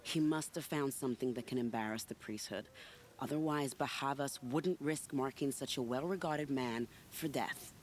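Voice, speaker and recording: A woman playing a sly, secretive, charming spy in a video game, teasing in a silky, unhurried way. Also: a bass voice, a little hiss or hum. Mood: sly, confiding. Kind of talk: video game cutscene acting.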